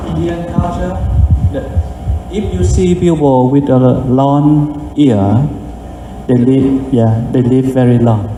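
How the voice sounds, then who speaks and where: A man explaining a custom simply, in halting speech. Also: medium pitch, music in the background. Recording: distant mic in an echoey hall with noise.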